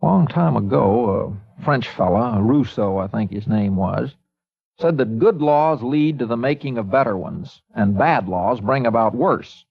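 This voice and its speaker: A calm, folksy small-town newspaperman talks in an easygoing drawl. His voice is a little husky. The sound is dull and lo-fi, like an old radio broadcast. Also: medium pitch, a little slow, exaggerated voice. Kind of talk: 1950s radio acting.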